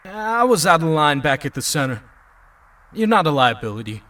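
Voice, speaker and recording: An actor playing an earnest, excitable young superhero in an audio drama, with a casual, boyish manner. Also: male voice, a little high, normal speed. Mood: earnest, subdued. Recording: slightly distant microphone.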